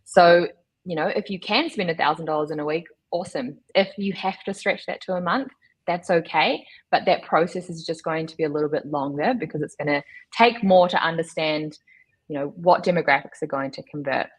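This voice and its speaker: A woman, giving measured, instructive explanations as an interview guest. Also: sits low, breathy edge, rough recording. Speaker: guest, digital agency chief executive.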